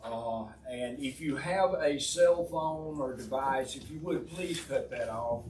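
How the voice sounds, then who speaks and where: A man's voice: mid-range voice, slow pace, a little mellow. A man chairing a legislative committee meeting in a folksy, polite way with frequent filler uhs. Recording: echoey hearing room, distant mic, noisy.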